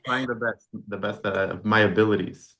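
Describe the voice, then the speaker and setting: Relaxed man in his forties, fillers. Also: fairly low pitch, moderate pace, rough recording. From Canada. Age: 40s. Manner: relaxed, rambling with many fillers and restarts. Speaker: podcast guest, brand founder. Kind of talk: casual interview chat.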